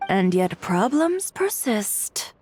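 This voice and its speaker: A woman's voice: mid-range voice, very animated, slow pace, darkish tone, slight background noise. A woman voicing a composed, practical female fashion designer in a video game, with an understated, matter-of-fact manner.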